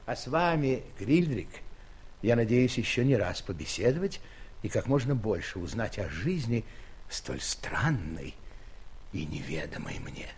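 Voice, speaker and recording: A man's voice: lowish voice, sing-song, unhurried, husky, full character voice. An actor in an audio play playing a grand giant king, pompous, declamatory and full of curious wonder. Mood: solemn, musing. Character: giant male king, grand, curious, condescending yet benevolent.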